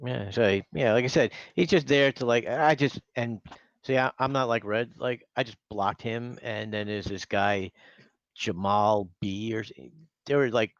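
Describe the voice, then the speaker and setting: Rambling guy on a stream, raspy fry, dry dull lo-fi mic. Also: medium pitch, steady tone, normal speed, no echo. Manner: rambling, full of fillers and restarts. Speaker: guest. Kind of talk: casual live-stream chat.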